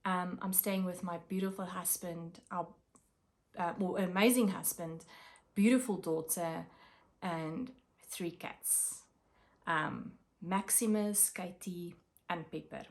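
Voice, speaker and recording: A South African woman whose first language is Afrikaans, chatting casually to camera about her craft projects, with many pauses and fillers. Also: fairly low pitch, fairly even intonation. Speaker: craft vlogger.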